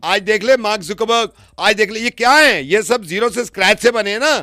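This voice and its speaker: A man chats casually on a prank call in a bright, slightly high voice. He is insistent and chummy, repeating phrases to urge the listener on. The sound is close and dry. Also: lively intonation, a little fast, dry recording. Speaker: prank caller, radio show host. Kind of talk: casual phone chat, egging on.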